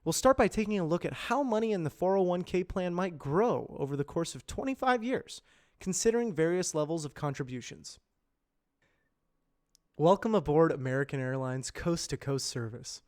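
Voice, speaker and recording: A man reading scripted educational and corporate narration in a measured, clearly enunciated explainer delivery, as an amateur voice actor. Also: a little high, expressive.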